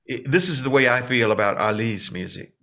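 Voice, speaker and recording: A man hosting an interview who talks haltingly and repeats words as he starts a sentence. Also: medium pitch, animated, gravelly, pushed and bright, highs cut off. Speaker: interview host. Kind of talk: casual interview.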